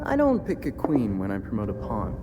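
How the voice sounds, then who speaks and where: An actor playing a bright, playful, earnest young hero in a video game cutscene. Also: male voice, fairly low pitch, wildly swooping pitch, fairly quick, muffled recording, over music. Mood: teasing.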